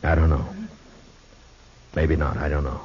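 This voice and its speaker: An actor with an American accent playing a grave, compassionate, weary frontier marshal in a 1950s radio western, sober and plain-spoken. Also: male voice, very deep voice, fairly even intonation, a little slow, a little hiss or hum. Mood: regretful, firm. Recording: muffled 1950s radio recording.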